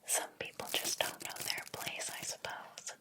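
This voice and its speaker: A woman voicing a jealous, possessive hairdresser in an ASMR roleplay, whispering breathily in a slow, theatrical, wounded and haughty manner. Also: pushed and bright, close to an everyday voice. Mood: possessive, disdainful. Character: jealous, possessive hairdresser, obsessed with favorite client.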